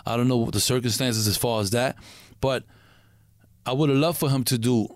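A middle-aged man from Puerto Rico, a guest in a casual interview, who talks in a halting way, repeating words and using street slang. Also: medium pitch, fairly even intonation, dry recording, clean studio sound.

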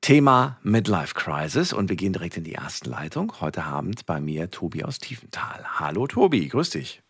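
Radio call-in host, man, lowish voice with very varied melody, addressing callers. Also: very animated, normal speed, slightly rough, dry recording, studio quality. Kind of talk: late-night radio talk, addressing listeners and callers. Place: radio studio. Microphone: close studio microphone.

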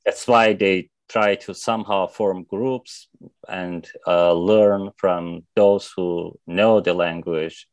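Hesitant Iranian-born man, low voice. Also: darkish tone, no echo. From Iran. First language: Azerbaijani Turkish. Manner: hesitant, many fillers and restarts. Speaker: interview guest, activist. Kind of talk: interview answers.

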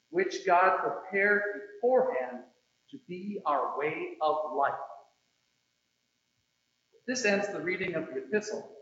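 A man giving a solemn scripture reading at a church service, with deliberate, measured phrasing. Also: high voice, fairly bright tone. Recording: very echoey room, slightly muffled.

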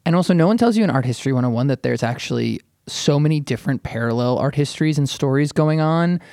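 A man's voice: fairly high pitch, expressive, fast talker, gravelly, darkish tone, no echo, clean studio sound. A man talking as a critic in a casual panel discussion, opinionated and emphatic. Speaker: podcast panelist, art critic.

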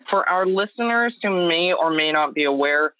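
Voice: mid-range voice, expressive, moderate pace. A podcast host explaining background facts to listeners. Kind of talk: podcast interview. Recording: tinny phone-like dry line.

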